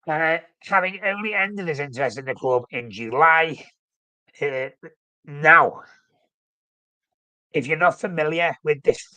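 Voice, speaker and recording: A man's voice: fairly high pitch, measured pace, long pauses, pushed and bright, no room sound, poor audio. A man with a flu, sounding snuffly, hosting a casual live-stream chat about his football club and rambling with frequent self-corrections. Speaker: fan channel host.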